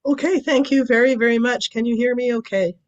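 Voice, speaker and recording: Woman, raspy, hoarse from a cold, guest lecture on a call, halting with fillers. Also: fairly high pitch, steady tone, highs cut off. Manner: loose, with many ums and restarts. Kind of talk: academic webinar lecture. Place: remote video call.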